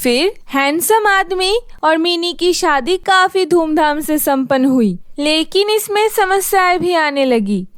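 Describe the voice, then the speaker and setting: A woman's voice: very high-pitched, lively intonation, measured pace, few pauses, no echo, clean studio sound. A woman narrating a scripted children's story as its storyteller.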